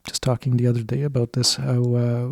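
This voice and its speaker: A man's voice: mid-range voice, completely flat delivery, creaky, darkish tone, no room sound. Reflective man with a dry voice. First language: Turkish. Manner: reflective, measured, philosophical. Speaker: podcast host. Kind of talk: casual conversation.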